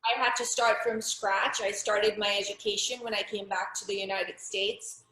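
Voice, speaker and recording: A woman's voice: mid-range voice, flat delivery, normal speed, pushed and bright, echoey room, rough recording. A middle-aged woman from Pakistan, speaking frankly and earnestly as an interview guest, often restarting her sentences. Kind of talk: personal testimony in an interview.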